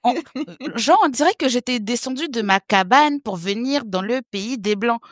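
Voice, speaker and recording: Lively woman telling stories with laughter. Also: a little high, moderate pace, no room sound, studio quality. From French Guiana. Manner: lively, laughing, acts out other people's remarks. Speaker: podcast guest. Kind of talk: casual interview storytelling.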